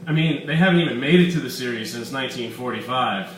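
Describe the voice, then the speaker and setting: A man's voice: mid-range voice, fast, close to an everyday voice. Man reading as a cocky, tipsy hero, roomy sound. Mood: bold protest. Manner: protesting, cocky. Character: big tipsy male action hero, forty, boldly flippant. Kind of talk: live short-story reading, character dialogue. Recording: echoey room, distant mic, background noise.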